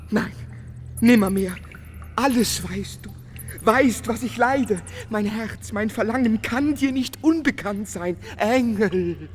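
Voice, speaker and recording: A young painter consumed by love and torment. He speaks in a slow, literary, declamatory way, full of feeling, in the manner of a German radio drama. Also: high voice, soft background music. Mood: ardent, pleading. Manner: declamatory, emotional, literary delivery.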